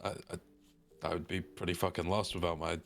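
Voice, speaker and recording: A man's voice: low-pitched, flat delivery, brisk. A man playing a tabletop game, chatting casually as he improvises.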